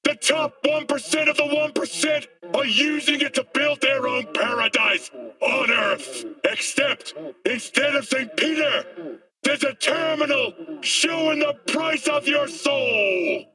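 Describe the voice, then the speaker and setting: High-pitched, fiery street preacher. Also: male voice, slow, bright, forceful tone, recorded from a distance, soft background music, full character voice. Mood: bitter, preaching. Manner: impassioned, declamatory, preaching. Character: fiery male street preacher ranting against corporate dystopia. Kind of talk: dramatic video game monologue.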